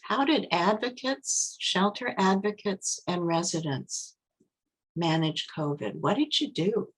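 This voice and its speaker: A woman giving a relaxed, chatty webinar presentation over a video call. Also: medium pitch, measured pace, husky, low-quality recording.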